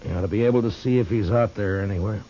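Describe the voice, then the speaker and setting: An actor playing a stern, weary American marshal in a 1950s radio western, terse and grim in dialogue and narration. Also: male voice, fairly low pitch, very fast, warm tone, slight background noise, natural voice. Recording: muffled vintage radio recording with music.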